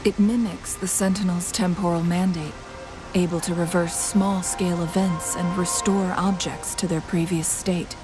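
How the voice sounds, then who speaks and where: A woman acts out a scholarly young female adventurer from a video game, composed and formal. Her voice sits a little low and every phrase is measured and articulate. Music plays clearly behind her. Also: fairly even intonation, close to an everyday voice. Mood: thoughtful. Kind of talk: video game story dialogue.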